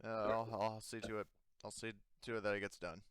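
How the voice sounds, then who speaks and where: A man working as game master, improvising tabletop narration in a halting, casual, meandering way. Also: on the low side, little pitch movement, a crowd in the background.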